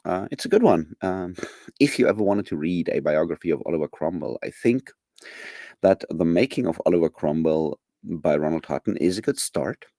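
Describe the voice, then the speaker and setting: Low-voiced man rambling casually. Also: lively intonation, normal speed, mellow, no echo, clean studio sound. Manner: rambling, many fillers and restarts; chuckles often. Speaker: streamer. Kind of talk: casual chat to live viewers.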